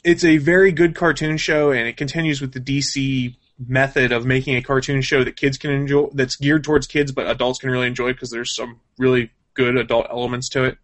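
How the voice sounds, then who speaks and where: A man in casual co-host mode, speaking at a middling pitch. He talks conversationally, like an opinionated fan discussing comics and cartoons. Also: level tone, a little fast, few pauses, fairly bright tone. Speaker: co-host of a gaming and comics news show.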